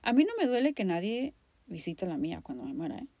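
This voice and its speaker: A woman's voice: medium pitch, big pitch swings, fast talker, dark tone, dry recording. A woman with a Mexican accent hosting a podcast, delivering a personal, poetic monologue in an impassioned, emphatic way with dramatic repetition. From Guadalajara, Mexico. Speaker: podcast host.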